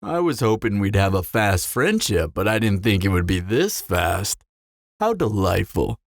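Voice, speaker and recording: A man's voice: on the low side, very animated, gravelly, no echo, clean studio sound, full character voice. Man acting a smug, swooping flirtatious vampire. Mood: flirty, amused. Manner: teasing, smug drawl with dramatic pauses. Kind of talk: scripted audio roleplay, intimate character acting.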